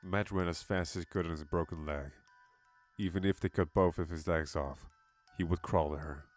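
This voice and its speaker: A man's voice: low-pitched, fairly even intonation, moderate pace. A man giving a dramatic scripted narration of a horror story. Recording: music behind the voice.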